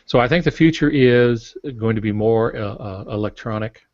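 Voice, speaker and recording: A man's voice: mid-range voice, moderate pace, darkish tone. A man speaking as a guest author on a podcast, explaining things conversationally in an informal, folksy, opinionated way. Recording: roomy, dull lo-fi podcast audio.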